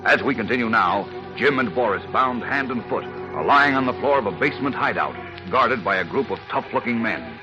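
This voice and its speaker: A man's voice: mid-range voice, expressive, moderate pace. A man narrating a 1940s radio serial as its announcer, in a dramatic, emphatic style. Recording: muffled old radio recording. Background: music.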